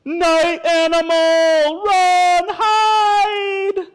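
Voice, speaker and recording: Storyteller acting out panicked storybook animals, very high-pitched. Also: little pitch movement, heavily acted. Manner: panicked, exclaiming, drawn-out cries. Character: frightened animals fleeing at night: bear, wolf, skunk. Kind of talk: children's picture-book read-aloud.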